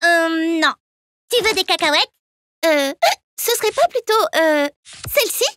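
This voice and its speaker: A squeaky, sweet little girl. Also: expressive, unhurried, slight background noise, full character voice. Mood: cheerful. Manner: cheerful, earnest. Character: sweet, upbeat, wide-eyed little cartoon girl. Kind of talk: French animated cartoon dubbing. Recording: loud music behind.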